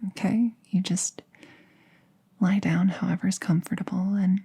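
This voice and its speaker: Casting: woman to voice young apprentice witch, intimate audio drama. Slow, hushed, soothing delivery with a soft, relaxed tone and a fond, excitable heart. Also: mid-range voice, heavily acted. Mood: soothing, affectionate. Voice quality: soft, breathy, often near whisper. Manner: halting, gushing, soothing. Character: young apprentice witch, gentle, excited, affectionate close friend. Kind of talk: intimate roleplay audio, soft-spoken ASMR.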